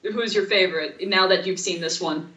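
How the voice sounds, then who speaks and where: A woman's voice: fairly low pitch, moderate pace, sharp tone, roomy sound, muffled recording. A woman chatting casually about films as a podcast co-host. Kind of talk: casual film chat.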